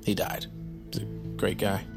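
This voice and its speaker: A man's voice: moderate pace, music in the background, natural voice. An actor playing an awkward, earnest man in his thirties, a loyal friend who rambles and stammers, in a naturalistic audio drama. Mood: awkward, defensive. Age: 30s. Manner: rambling, stammering, self-deprecating.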